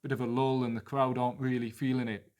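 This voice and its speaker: A man's voice: mid-range voice, little pitch movement, quick pace, darkish tone. Geordie man analysing football casually. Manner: fluent, analytical, thinks aloud with restarts. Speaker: football podcast co-host.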